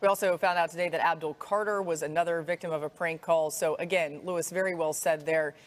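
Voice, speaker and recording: A woman hosting a TV sports show, moving briskly between questions, comments and segues. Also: deep voice, steady tone.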